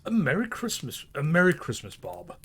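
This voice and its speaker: Old reformed miser for a storybook, played by a man: gruff, emphatic, gleeful underneath, very animated intonation. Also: fairly high pitch, normal speed, a little hiss or hum, pitched above the performer's own voice. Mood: jubilant. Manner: emphatic, growling when feigning sternness. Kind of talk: storybook character voice.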